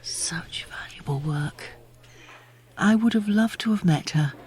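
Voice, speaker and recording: A woman playing a composed, politely probing doctor in a sci-fi audio drama, deliberate and faintly unsettling. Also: on the low side, darkish tone, husky, heavily acted.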